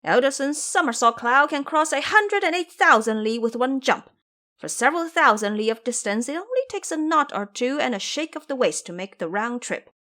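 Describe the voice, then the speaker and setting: A woman's voice: sits high, animated, moderate pace, pushed and bright, pitched above the performer's own voice. A woman voicing a clever, boastful male monkey warrior in an audio drama, brash and proud in manner. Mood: boastful, scoffing. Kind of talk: audio drama character acting.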